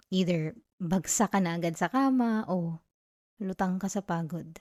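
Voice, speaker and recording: A woman acts out an affectionate young girlfriend in an ASMR roleplay. Her voice is soft and mellow, with a playful, coaxing manner. It is recorded very dry and intimate. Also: lowish voice, creaky, no room sound. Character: affectionate, teasing young live-in girlfriend comforting tired partner.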